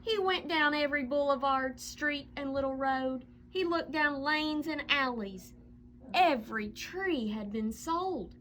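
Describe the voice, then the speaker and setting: Bright, high-pitched woman reading a storybook. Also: a little hiss or hum. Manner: expressive, dramatic storytelling with drawn-out emphasis. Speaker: read-aloud storyteller. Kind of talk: children's picture book read aloud.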